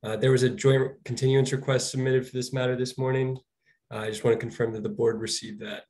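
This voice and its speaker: A slightly hoarse man speaks to an appeals board over a video call. He is polite and deferential, and his pitch is ordinary for a man. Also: flat delivery, fast, a little mellow. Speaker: law department representative. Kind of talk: formal hearing remarks.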